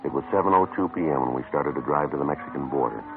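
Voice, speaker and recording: An actor playing a calm, dogged police detective who also narrates, speaking flat and matter-of-fact in an old radio drama. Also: male voice, a bass voice, rapid-fire, vocal fry, over music. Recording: tinny lo-fi old radio broadcast.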